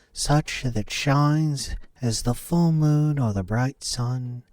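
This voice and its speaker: A man's voice: medium pitch, a little mellow, no echo, voice pushed up, close to an everyday voice. A man voicing a grand young maiden, the eldest daughter in a fairy tale, making formal, archaic pleas. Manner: formal, slow archaic pleading. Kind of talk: storybook character voice.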